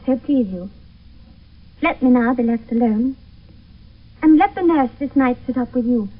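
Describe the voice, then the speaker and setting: A woman's voice: fairly high pitch, a little slow. An actress playing a frightened young noblewoman in an intense, theatrical 1970s radio Shakespeare style. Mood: anxious. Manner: intense, theatrical verse delivery. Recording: thin phone-like old radio, distant mic.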